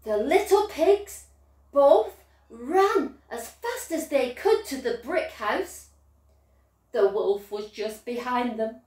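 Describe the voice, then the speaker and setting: A woman's voice: fairly high pitch, big pitch swings, slow pace, darkish tone. A woman reading a children's story in a sing-song, emphatic way, as if to young children. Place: small living room, indoors. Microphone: distant camera. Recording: roomy echo, far from the mic.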